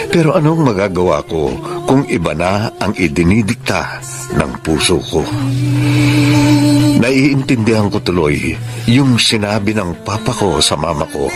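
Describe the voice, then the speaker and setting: An earnest married man torn by forbidden love in a radio drama. His voice is fairly low and confessional. Music plays clearly behind him. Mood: resigned, brooding. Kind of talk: Filipino radio drama acting and confessional narration.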